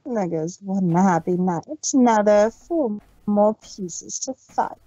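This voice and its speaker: A woman's voice: mid-range voice, slow pace, warm tone, dry recording, pitched below the performer's own voice. A woman voicing a gleeful, cruel male chaos spirit in a fan reading, gloating in a sing-song way. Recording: dull dry lo-fi stream audio.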